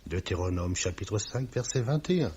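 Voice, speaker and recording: An actor playing a stern, sanctimonious company boss who speaks in pompous, formal, scripture-laden sentences in a 1950s-style radio mystery. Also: male voice, fairly low pitch, lively intonation, normal speed, mellow, close to an everyday voice. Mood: righteous, condemning. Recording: dull old radio recording with clear hiss.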